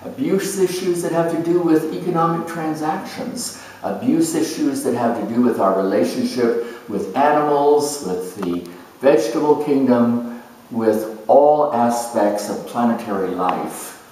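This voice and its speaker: A man performing a first-person character monologue in a deliberate, measured, theatrical way with emphatic phrasing. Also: medium pitch, mellow. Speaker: astrologer dramatizing zodiac character types. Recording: echoey room, distant microphone.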